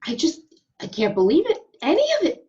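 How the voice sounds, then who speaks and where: A woman playing an excitable, candid actress aboard a starship, expressive and conversational, in an amateur table read. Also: high-pitched, brisk. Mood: excited. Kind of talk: amateur remote table read of a sci-fi comedy. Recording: slightly dull, lo-fi home recording.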